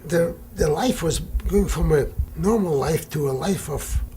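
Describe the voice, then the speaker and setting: A man in his eighties whose first language is Yiddish gives a slow, halting recollection. He often repeats words before going on. His voice sits a little high for a man, with faint noise behind it. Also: dark tone. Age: 80s. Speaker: Holocaust survivor interviewee. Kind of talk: recalled testimony.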